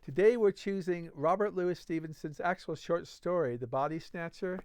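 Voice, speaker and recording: A man's voice: fairly high pitch, normal speed, a little mellow, clear, resonant tone, dry recording. A man hosting a book-reading series, casually introducing and narrating classic stories.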